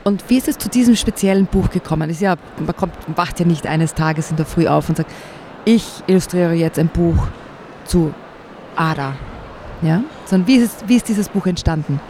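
A woman interviewing a guest for the radio, asking questions in a slow, friendly manner. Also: on the low side, a little mellow. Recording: faint street noise behind.

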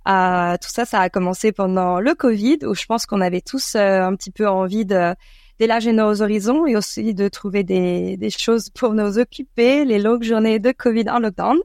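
A woman from France with a French accent, answering interview questions conversationally and thoughtfully, often pausing mid-sentence. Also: medium pitch, darkish tone, dry recording, studio quality. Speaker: podcast creator being interviewed.

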